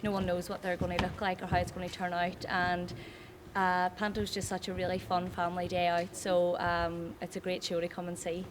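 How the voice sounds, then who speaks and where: A woman's voice: fairly low pitch, completely flat delivery, moderate pace. A young woman, an actress chatting casually in a promotional interview. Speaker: pantomime actress. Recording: clear background noise.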